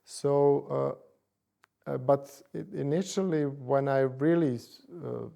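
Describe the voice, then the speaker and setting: Gravelly man, measured. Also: medium pitch, dark tone. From Bosnia and Herzegovina. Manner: measured, with hedges and fillers. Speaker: interviewee, church commission director. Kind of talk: interview answers.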